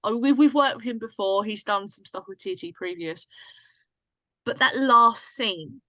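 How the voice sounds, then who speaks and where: Female podcast guest, enthusiastic and emphatic, bright mid-pitched voice, casual slangy delivery. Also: animated, measured pace, no echo, low-quality recording. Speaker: podcast guest, drama writer and cast member. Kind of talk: casual chat.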